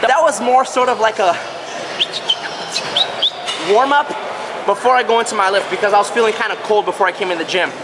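A man in his twenties vlogging casually in a gym, talking to the camera in a playful, joking, animated way. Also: high-pitched, fairly quick, pauses often, very noisy. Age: 20s. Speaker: fitness vlogger reviewing gym gear. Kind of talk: casual vlog talk to camera. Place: large busy commercial gym. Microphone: handheld camera at arm's length. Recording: loud music behind the voice.

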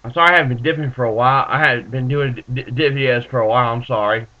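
A man in his twenties with a stuffed-up nose, rambling in a laid-back, halting way as he talks to his webcam in a small room with bookshelves. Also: medium pitch. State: stuffed up from allergies. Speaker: vlogger reviewing dip tobacco. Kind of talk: casual webcam vlog. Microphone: built-in webcam mic. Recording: muffled lo-fi webcam audio.

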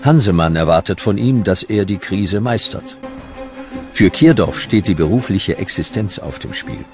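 A man narrating a scripted documentary in a measured, dramatic storytelling manner. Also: low-pitched, expressive, over music.

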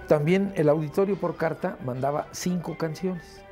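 A man's voice: a little high, moderate pace, darkish tone, faint music behind. A man explaining old radio shows in a casual interview. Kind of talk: casual reminiscence.

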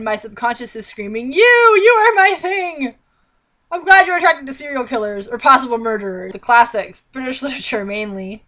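Young female vlogger, fairly high pitch, theatrical and exasperated, reading aloud with mocking commentary. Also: a bit bright. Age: young adult. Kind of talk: mocking read-aloud with sarcastic running commentary. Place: small indoor bedroom.

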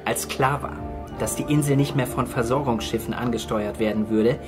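An actor playing a wry island scientist in a naturalistic radio drama, laconic and factual. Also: male voice, mid-range voice, moderate pace, music in the background, lightly acted. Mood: grim, explaining. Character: dry, wry male island marine scientist.

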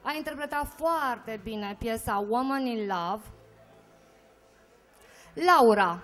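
High-voiced woman emceeing a karaoke awards night. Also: soft background music. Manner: lively, rallying the crowd for applause. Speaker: awards presenter. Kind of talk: live stage emcee announcing winners. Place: stage of a rock cafe with karaoke audience. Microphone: handheld microphone.

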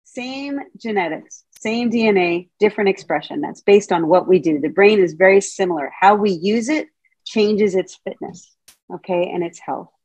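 A woman's voice: medium pitch, normal speed, darkish tone, husky, no echo, highs cut off. A middle-aged woman, a Montessori teacher trainer, giving a casual, playful talk over a video call and often asking her audience questions. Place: home room, indoors. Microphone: video call webcam.

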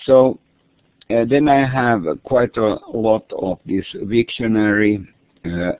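A man's voice: deep voice, no room sound. A man from Finland, a native Swedish speaker with a Finland Swedish accent, explaining his course materials in a hesitant way full of fillers and restarts. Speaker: language course creator. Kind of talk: screen-share lesson walkthrough. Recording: tinny phone-like line, dry.

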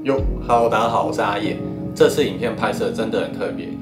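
A Taiwanese man with a fairly low voice hosts a casual vlog. He speaks to camera in a roomy, echoing sound, with loud music playing behind him. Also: quick pace. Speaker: vlogger.

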